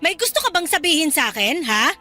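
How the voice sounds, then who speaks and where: A woman's voice: high voice, sing-song, brisk, faint music behind, exaggerated voice. A woman playing a furious, betrayed single mother scolding her maid in a melodramatic radio drama. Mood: accusing, angry. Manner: accusing, scolding, forceful.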